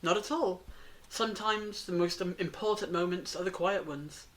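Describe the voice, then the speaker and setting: A woman playing a calm, enigmatic and reassuring character in an audio drama, speaking in a measured, philosophical way. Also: lowish voice, close to an everyday voice. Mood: reflective.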